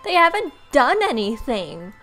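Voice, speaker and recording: A woman's voice: high-pitched, measured pace. Young woman reading a game aloud, music behind. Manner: expressive, dramatic, sarcastic reactions. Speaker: let's play host. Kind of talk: reading visual novel text aloud with casual reactions.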